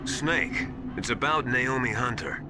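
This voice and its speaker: An actor playing a secretive, grave military confidant in a hushed dramatic video game style. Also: male voice, mid-range voice, a little slow, heavy background noise, close to an everyday voice. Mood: confiding, grave. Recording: music behind the voice.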